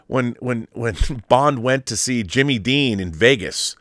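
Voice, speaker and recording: A man's voice: medium pitch, expressive, measured pace, fairly bright tone, dry recording, clean studio sound. A man hosting a live show in casual chat, rambling with frequent restarts and chuckles. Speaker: host.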